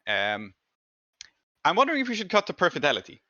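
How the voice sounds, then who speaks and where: A man with a bright, forward voice narrates a tabletop roleplaying game as its game master. He weighs his rulings thoughtfully as he talks. The recording is a little dull and lo-fi. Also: a little high, wildly swooping pitch, fast talker, dry recording.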